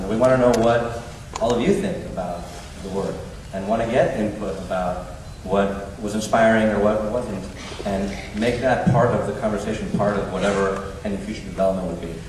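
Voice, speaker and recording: A man giving an unscripted answer as a film producer on a live Q&A panel. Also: lowish voice. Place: large cinema auditorium on a PA. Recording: very echoey hall, distant, clearly noisy.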